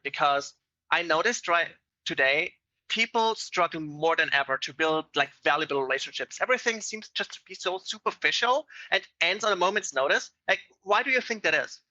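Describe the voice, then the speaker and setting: A man's voice: fairly high pitch, animated, normal speed, sharp tone, dry recording. A man hosting an interview, introducing his guest in loose phrasing with plenty of fillers. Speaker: host. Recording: dull dry lo-fi sound.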